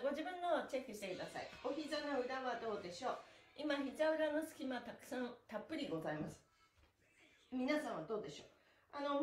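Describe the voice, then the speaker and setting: Lively, slightly hoarse middle-aged woman instructor. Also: fairly high pitch. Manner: cheerful, chatty, very polite wording. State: hoarse the morning after a performance and party. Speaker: stretching instructor and livestream host. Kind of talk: casual live exercise lesson with counted chants. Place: small bare-walled indoor room. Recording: roomy echo from camera distance.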